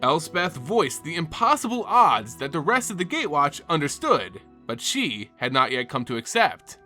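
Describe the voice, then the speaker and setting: Bright, animated male storyteller over music. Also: on the high side, normal speed. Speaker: host and narrator of a fantasy lore show. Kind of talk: dramatic scripted narration. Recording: background music under the voice.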